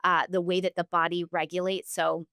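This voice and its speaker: A woman's voice: fairly low pitch, flat delivery, normal speed, a bit bright, clean tone, no room sound, clean studio sound. A woman speaking as a health practitioner and interview guest, giving long, earnest, instructive explanations.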